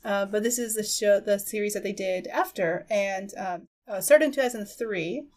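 A woman hosting a podcast, chatting casually about anime and explaining things in a halting, thoughtful way. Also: mid-range voice, darkish tone. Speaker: podcast host.

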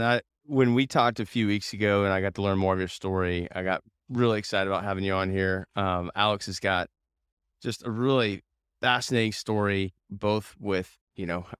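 An upbeat man with a fairly low, clean voice hosts a casual interview podcast. He sounds informal and enthusiastic, and the recording is close and dry. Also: fairly bright tone, smooth, no room sound. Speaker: podcast host.

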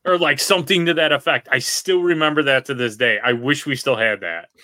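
A man's voice: medium pitch, a little fast, no room sound, clean studio sound. A man co-hosting a podcast, telling stories in a casual chat and acting out the quotes in them. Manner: animated storyteller, acts out quotes. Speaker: podcast co-host.

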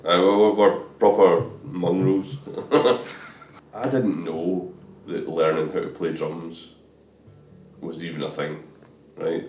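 A man's voice: low-pitched, steady tone, slow pace, darkish tone, a little hiss or hum. A Scottish man, a drummer, chatting candidly in an interview with frequent stammered restarts. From Scotland. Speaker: drummer guest. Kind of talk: casual interview chat. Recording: echoey room from a distance.

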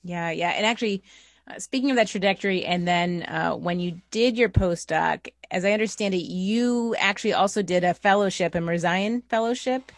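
A woman's voice: mid-range voice, normal speed, dry recording. A woman hosting a podcast, asking questions in a conversational interview style.

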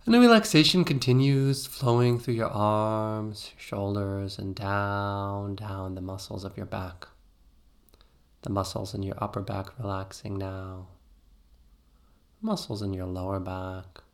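A man narrating a guided meditation in a soothing, gently instructive way with pauses between phrases. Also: fairly low pitch.

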